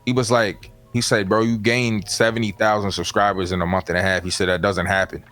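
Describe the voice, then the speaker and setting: A man with a naturally deep voice, a guest on a stream, talking casually and getting animated. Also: a little fast, soft background music. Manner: animated, voice rises when excited. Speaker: guest YouTuber.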